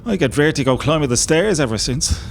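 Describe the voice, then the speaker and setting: Casting: male actor as wry Irish volunteer, higher voice, complaining, radio drama, faint ambient noise. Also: animated, a little fast, creaky. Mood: wry, grumbling. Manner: colloquial, comically complaining. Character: grumbling, wry working-class male rebel volunteer. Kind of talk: audio play acting.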